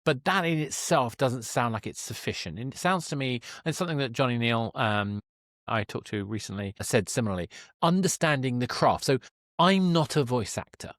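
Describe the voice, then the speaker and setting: A man's voice: medium pitch, animated, normal speed, fairly bright tone, dry recording, studio quality. A man hosting a conversational interview podcast, talking in a reflective, discursive way into a dynamic microphone. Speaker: podcast host and stage presenter. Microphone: dynamic microphone at a desk.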